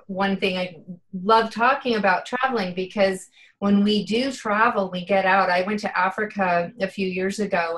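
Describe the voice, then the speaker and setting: A woman's voice: mid-range voice, fairly bright tone, rough recording. A woman in her fifties, a guest in an interview, speaking earnestly and from the heart with many "you know" fillers. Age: 50s. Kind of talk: casual interview chat.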